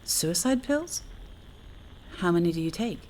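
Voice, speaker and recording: Low-pitched, wry astronaut. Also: female voice, animated, a little slow, a little mellow, slight background noise, rough recording. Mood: grim, sardonic. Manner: sardonic, conversational, hesitant asides. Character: wry, talkative female astronaut, bravely facing doom. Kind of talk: naturalistic audio drama acting.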